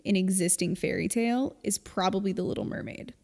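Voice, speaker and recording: Gravelly-edged woman podcaster in a reverberant room. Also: medium pitch, a little fast, darkish tone. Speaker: podcast co-host. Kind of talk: casual explanatory podcast chat. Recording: long reverb, hall-like room.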